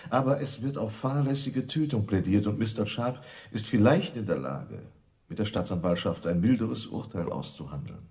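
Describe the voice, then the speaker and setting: Cold, low-voiced avenging husband. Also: male voice, animated, moderate pace, a little mellow. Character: cold, menacing bereaved husband. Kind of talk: German radio crime drama acting.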